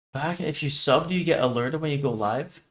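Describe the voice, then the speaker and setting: A man doing a casual livestream reaction, reading captions aloud and commenting in a joking, irreverent, sweary way. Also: on the high side. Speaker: streamer reacting to horror videos.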